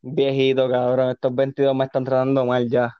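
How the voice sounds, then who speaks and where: A man's voice: medium pitch, completely flat delivery, a little fast, smooth, no echo, lo-fi sound. Laid-back Puerto Rican guy in his early twenties. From Puerto Rico. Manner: laid-back, slangy, swears constantly. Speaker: podcast guest. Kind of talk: casual chat.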